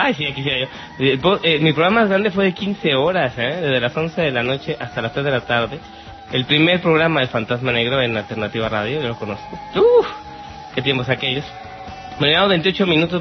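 A man's voice: a little high, fairly quick. A Mexican man with a playful, theatrical way of talking, chatting casually as a podcast host answering listener questions. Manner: theatrical, mock-exasperated, playful. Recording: dull lo-fi radio sound, music behind. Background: music.

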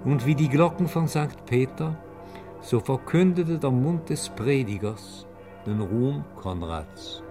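A man narrating a radio play in a solemn, measured, reverent way. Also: mid-range voice, lively intonation, over music.

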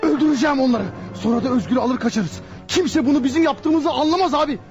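A man's voice: very high voice, fast, lo-fi sound, faint music behind. An actor playing a hot-headed, trigger-happy male gangster in a radio play, exclaiming aggressively. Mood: agitated. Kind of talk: Turkish radio theatre acting.

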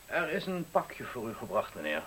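An actor playing a formal, obsequious butler with a clipped, deferential manner in a radio play. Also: male voice, mid-range voice, wildly swooping pitch, normal speed, a bit bright. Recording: very noisy 1970s radio recording, clicks.

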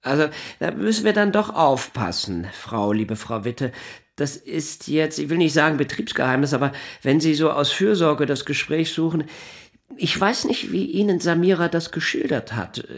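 Middle-aged male editor, husky, lively swooping intonation, halting, digressive, defensive, naturalistic radio drama. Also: fairly high pitch. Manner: halting, digressive, breaks off sentences. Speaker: newspaper science editor in a drama.

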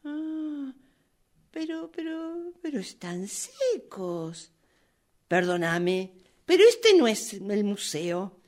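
Una mujer con acento rioplatense interpreta en un radioteatro aficionado a una visitante ingenua, escéptica y asustadiza, de manera exagerada y exclamativa. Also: female voice, mid-range voice, big pitch swings, very slow. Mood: curious. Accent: Rioplatense Argentine. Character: naive, skeptical, easily frightened woman visiting a museum. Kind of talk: amateur radio play rehearsal.

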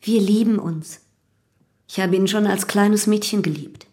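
An actress playing a calm, unashamed young widow in love, in a German radio drama. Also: female voice, medium pitch, measured pace, close to an everyday voice. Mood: composed, matter-of-fact. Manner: composed, unashamed.

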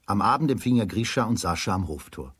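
Male narrator, lowish breathy voice, dry close sound, scripted children's storytelling. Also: expressive, fast, fairly bright tone, no echo.

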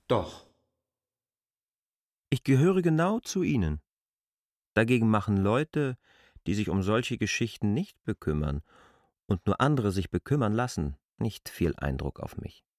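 An argumentative, judgmental outsider in a radio play. The voice is low and very animated, recorded dry and close. He speaks in an insistent, probing way. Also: unhurried, pauses often, dry recording. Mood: firm. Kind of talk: radio drama acting.

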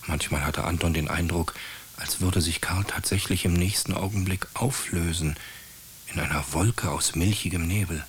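A man's voice: low-pitched, moderate pace, a little hiss or hum. A man narrating a radio drama as scripted storytelling.

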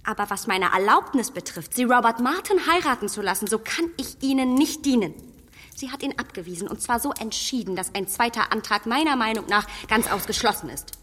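An actress playing a clever, headstrong young matchmaker in a radio play, arguing in a spirited, defiant way. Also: female voice, fairly high pitch, animated, brisk, a bit bright, long reverb tail. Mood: cool.

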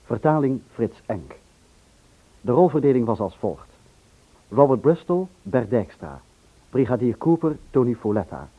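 A man's voice: mid-range voice, very animated, measured pace, long pauses, husky. A man announcing formally from a script, as a radio announcer reading out credits. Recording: muffled lo-fi old radio recording.

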